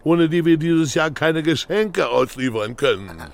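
A man's voice: fairly high pitch, lively intonation, unhurried, vocal fry. A man playing a gloomy old Russian Father Frost in a children's audio play, in a ponderous manner.

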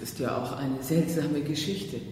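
A woman's voice: fairly even intonation, moderate pace, darkish tone, close to an everyday voice. An actress playing a timid, polite, deceived woman, speaking tentatively in a radio crime drama. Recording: very echoey hall, distant mic, faint rumble.

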